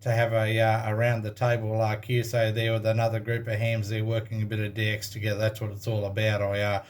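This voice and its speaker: An Australian man working as an amateur radio operator, chatting casually over a contact in halting phrases full of operator jargon. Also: fairly low pitch, monotone.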